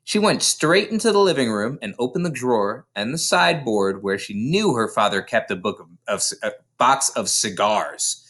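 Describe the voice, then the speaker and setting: A man reading a children's story aloud in an expressive, dramatic storytelling manner. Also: mid-range voice, moderate pace, few pauses. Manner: dramatic, animated storyteller delivery. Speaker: storybook reader.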